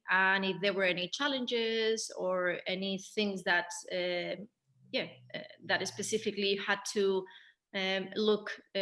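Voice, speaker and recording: A woman moderating a conversational post-show interview, phrasing her thoughts in a measured, halting way. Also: medium pitch, fairly bright tone. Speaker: theatre director, Q&A moderator.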